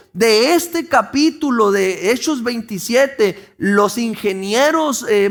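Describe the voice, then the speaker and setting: A Mexican pastor with a coastal Sonoran accent preaches a sermon. His voice sits very high for a man. He talks to the congregation conversationally, tossing them questions and restarting phrases as he goes. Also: a bit bright. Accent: Mexican Spanish, Sonora coast.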